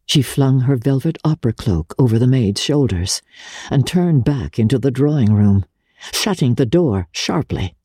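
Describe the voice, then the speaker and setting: A woman with a husky, dark-toned voice reads literary narration in a dry, close recording. Her delivery is measured yet theatrical and expressive, with a highly varied melody. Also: no echo. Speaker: audiobook narrator.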